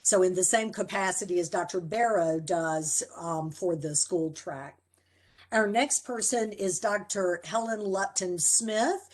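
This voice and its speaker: Woman, program coordinator, formal introductions, video call audio. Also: on the low side. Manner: measured, formal introductions with fillers. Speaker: program coordinator hosting orientation.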